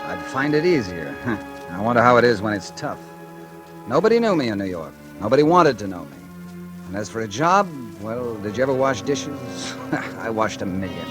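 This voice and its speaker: A wry, world-weary ex-convict. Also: male voice, on the low side, big pitch swings, normal speed, rough recording. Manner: wry, hard-bitten first-person narration. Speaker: narrator and lead character. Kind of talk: 1940s radio acting. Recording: old radio broadcast with music.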